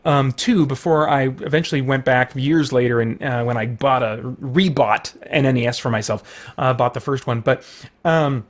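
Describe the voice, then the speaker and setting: A man's voice: medium pitch, moderate pace. A middle-aged man hosting a video with casual, rambling, enthusiastic chat full of loose asides. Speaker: video host.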